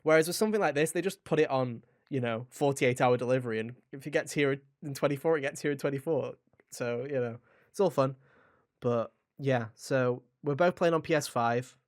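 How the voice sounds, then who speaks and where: A man's voice: mid-range voice, fairly quick. Rambling, informal male podcast host. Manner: rambling, informal, addresses listeners directly. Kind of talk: casual video game podcast chat.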